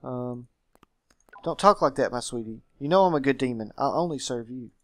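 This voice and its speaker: A man's voice: mid-range voice, animated, moderate pace, fairly bright tone, no room sound, lightly acted. A man voicing a clingy female demon servant in a game, coaxing and scolding her master.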